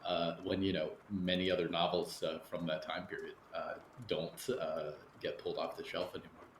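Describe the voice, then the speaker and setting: Very deep, monotone man, echoey. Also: normal speed, a little hiss or hum. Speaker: podcast host, interviewer. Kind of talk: asking a question in conversation.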